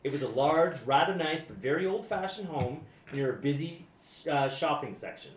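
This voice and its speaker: A man's voice: on the high side, animated, normal speed, lightly acted. A man playing an impatient, dogged insurance investigator, terse and wry, in an old-time radio detective play. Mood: wry narrating. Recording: roomy, distant, dull, faintly noisy.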